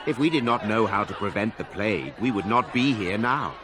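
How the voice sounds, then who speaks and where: Actor as haughty male mage guard, lowish voice, formal, measured, game dialogue, crowd noise behind. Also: animated, close to an everyday voice. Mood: cool, haughty. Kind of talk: video game voice acting.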